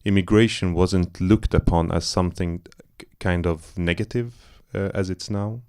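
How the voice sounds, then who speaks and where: Low-voiced man, easygoing and searching. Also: fairly even intonation, normal speed, darkish tone, clean tone. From Iran. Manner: loose, searching, many fillers and restarts. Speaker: film director. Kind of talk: post-screening Q&A talk. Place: cinema auditorium.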